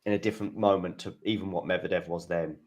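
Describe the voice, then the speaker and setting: A man hosting a casual tennis watchalong chat, talking in halting starts and restarts and reading out scores. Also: sits low, fairly even intonation, a little mellow. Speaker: host.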